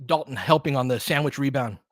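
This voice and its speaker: Man, medium pitch, informal fan play-by-play, clipped basketball calls, partisan, excitable on big plays, dry recording. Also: brisk, dry recording. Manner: terse, clipped phrases. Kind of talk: informal live basketball commentary.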